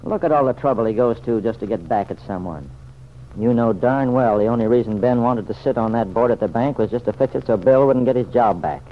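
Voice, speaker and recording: A man's voice: medium pitch, brisk, lightly acted. An actor playing a plain-spoken, good-hearted American regular guy with a folksy, slangy manner in an old-time radio serial. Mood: disgusted. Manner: folksy, slangy, hesitant at times. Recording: dull, noisy vintage radio recording.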